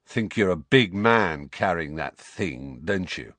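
Thuggish teen bully, played by a male narrator: surly, snarling, defensive, pitch jumping about, dry storybook recording. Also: lively intonation, slow pace, fairly bright tone, dry recording, exaggerated voice. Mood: hostile, defensive. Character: surly, thuggish, bullying teenage boy, easily riled.

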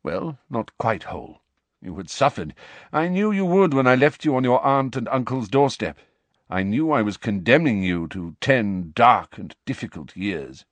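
A man's voice: medium pitch, animated, no echo, pitched above the performer's own voice, natural voice. Man acting a weary, grave old wizard. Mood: grave, confessing. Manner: quiet, measured, grave. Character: calm, weary, wise old male wizard headmaster. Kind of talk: dramatic audiobook character reading.

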